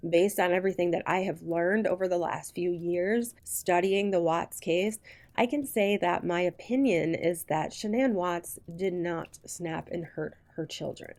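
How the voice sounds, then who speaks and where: A woman narrating a scripted true crime video in a deliberate, measured way with emphatic phrasing. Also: on the low side, darkish tone. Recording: voice-over with music behind it. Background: music.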